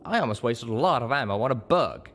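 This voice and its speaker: A man's voice: medium pitch, expressive, quick pace, a bit bright, slight background noise, pitched above the performer's own voice, natural voice. A man voicing a wry, casual pegasus stallion fighter in armor.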